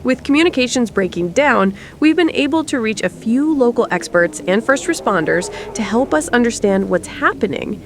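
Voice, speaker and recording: A woman's voice: fairly high pitch, lively intonation. Composed female news anchor played by an actress, noisy backdrop. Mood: grave, composed. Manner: formal, measured newsreader delivery. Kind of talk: scripted breaking-news narration.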